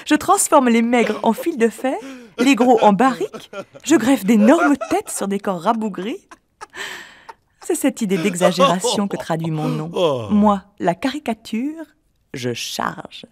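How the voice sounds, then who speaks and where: A woman's voice: medium pitch, sing-song, measured pace. A woman voicing caricature personified as a playful, boastful female art form, narrating in character with a teasing manner. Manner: mischievous, teasing, laughs at her own exaggerations. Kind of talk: scripted documentary narration in character.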